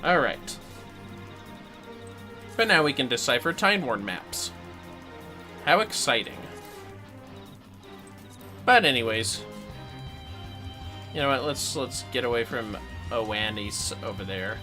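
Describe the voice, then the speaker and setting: A man livestreaming a game in casual chat, speaking in a low-key way, half muttering to himself and to viewers. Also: expressive, slow, pauses often, pushed and bright, faint music behind.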